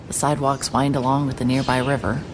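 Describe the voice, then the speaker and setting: An actress playing a wry, hard-hearted private investigator narrating a noir audio drama in a dry, deliberate way. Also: female voice, extremely low pitch, level tone, background noise. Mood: brooding, observant.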